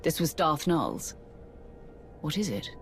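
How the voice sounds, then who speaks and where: Female Sith warrior: curt, skeptical, gravelly, expressive swings, video game role. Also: low voice, takes it slowly, soft background music, heavily acted. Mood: cool. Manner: dry, impatient.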